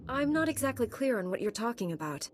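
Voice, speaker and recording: A woman playing a composed, resolute knight heroine in a video game, calm and direct. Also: a little high, quick pace, a little hiss or hum. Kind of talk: video game cutscene acting.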